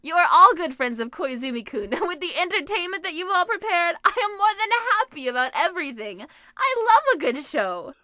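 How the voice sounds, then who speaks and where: A woman's voice: very high-pitched, very animated, fairly quick, hardly any pauses, bright, forceful tone, no room sound, studio quality, full character voice. A woman playing a cheerful, exuberant schoolgirl hostess in an anime-style audio drama, bubbly and emphatic. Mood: exuberant, delighted.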